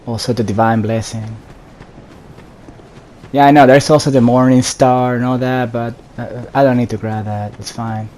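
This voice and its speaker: A man's voice: medium pitch, fairly even intonation, normal speed, a little mellow, a little hiss or hum. A man giving casual solo gameplay commentary, addressing viewers directly with instructions as he plays. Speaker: gaming video host.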